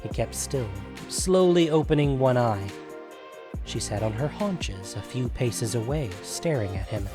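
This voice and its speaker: A man narrates a scripted fantasy story in a medium-pitched voice. His delivery is measured and dramatic, shaped for storytelling. Faint music plays underneath him. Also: lively intonation.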